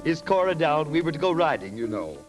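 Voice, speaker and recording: An actor playing a smug, oily suitor, suave and affected in old-time radio comedy style. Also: male voice, fairly high pitch, sing-song, a little fast, over loud music. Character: smug, oily suitor courting a rich young woman.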